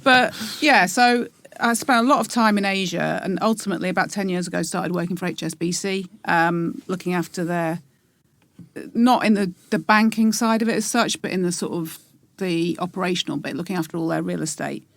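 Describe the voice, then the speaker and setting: A woman in her forties, a podcast guest, talking in a reflective, halting way with many pauses and restarts. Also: fairly low pitch, creaky, fairly bright tone, no room sound. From England. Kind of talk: casual interview.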